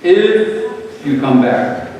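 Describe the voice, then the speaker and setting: A man's voice: mid-range voice, sing-song, extremely slow, darkish tone. A man playing a devout, forgiving father, earnest and preachy, in a dramatic reading of a parable. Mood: firm, loving. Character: devout, grieving, forgiving father pleading with his wayward son. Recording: very echoey hall from far off, faint noise.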